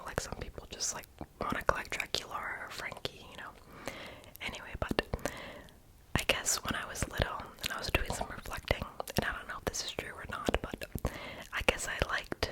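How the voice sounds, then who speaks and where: Whispering young ASMR creator. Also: rough recording. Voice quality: breathy unvoiced whisper with mouth sounds. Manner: casual, hesitant rambling with many likes. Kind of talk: whispered ASMR rambling voiceover.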